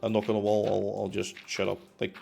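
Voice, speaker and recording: Young guy on a home stream, deepish voice w some fry, lots of ums and restarts. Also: completely flat delivery, fairly quick, mellow, a little hiss or hum. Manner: rambling, many fillers and restarts. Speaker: streamer building in a game. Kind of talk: casual chat while building. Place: home room in a concrete apartment.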